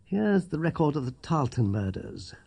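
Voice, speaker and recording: A man voicing a brisk, mischievous male detective in an audiobook, speaking in a teasing, lively way. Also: medium pitch, vocal fry, breathy edge, exaggerated voice.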